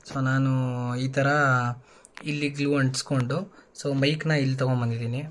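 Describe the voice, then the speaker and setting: A man's voice: medium pitch, steady tone, normal speed, a little hiss or hum. A man giving a casual hands-on how-to demonstration, informally mixing in English words. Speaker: tech vlogger presenting a tutorial.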